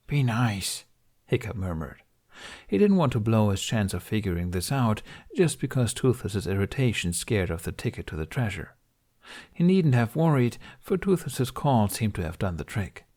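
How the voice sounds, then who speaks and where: A man's voice: fairly low pitch, darkish tone. A man reading a story aloud as scripted audiobook narration.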